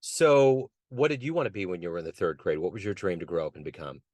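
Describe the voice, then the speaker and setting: A man's voice: low voice, sing-song, quick pace, darkish tone. A man hosting an interview, asking friendly, playful questions. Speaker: interview host.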